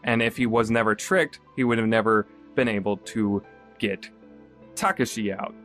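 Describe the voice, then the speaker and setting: A man's voice: on the low side, fairly even intonation, normal speed, a bit bright. Rambling man reviewing a game, faint music. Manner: rambling, many restarts and fillers, self-deprecating. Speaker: podcast host reviewing a visual novel. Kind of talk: casual unscripted review.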